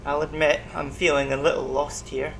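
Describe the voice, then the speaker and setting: Gentle, friendly alien doctor played by an actor. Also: medium pitch, flat delivery, normal speed, slight background noise, close to an everyday voice. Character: friendly alien colony doctor, calm and empathetic. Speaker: actor in an audio drama. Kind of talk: naturalistic science-fiction audio drama acting.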